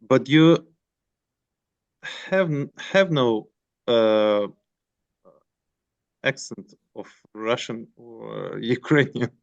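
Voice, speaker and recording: A man hosting a casual group chat on a video call, talking in a halting way. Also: medium pitch, gravelly. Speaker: priest hosting the meeting. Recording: dull video call audio.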